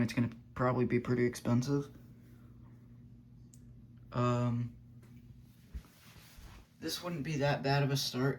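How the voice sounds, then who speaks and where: A man talks through gear recommendations in an offhand, unscripted way. His voice sits at a medium pitch and he feels his way loosely through each point. Some background noise and ambience sit behind him. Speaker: weather radio hobbyist reviewing gear. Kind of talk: casual unscripted product review.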